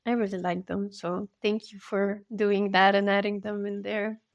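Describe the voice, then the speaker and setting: A woman chats as a podcast host in an informal interview style. Her voice sits at an average pitch, with somewhat hesitant phrasing and a dry, close sound. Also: flat delivery, normal speed, no room sound, highs cut off. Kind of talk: casual interview chat.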